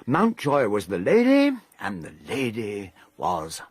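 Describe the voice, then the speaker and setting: An actor playing an urbane gentleman in a 1920s-set radio drama, clipped and authoritative as he reasons aloud. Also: medium pitch, wildly swooping pitch, takes it slowly, breathy edge, no echo, heavily acted. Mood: reasoning. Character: urbane English gentleman, host and narrator.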